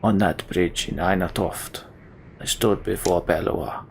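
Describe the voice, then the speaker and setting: A man voicing a brooding, haunted giant warrior in a video game, grave, weary and subdued, with a gravelly voice. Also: low-pitched, fairly even intonation, a little slow, a little hiss or hum, pitched below the performer's own voice.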